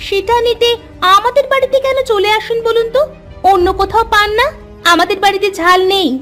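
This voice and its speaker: A woman voicing a rude, stingy young village girl in a cartoon, with sharp, scolding back-talk over music. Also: very high voice, fairly quick, pitched above the performer's own voice. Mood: snappish, defiant. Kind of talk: cartoon character acting.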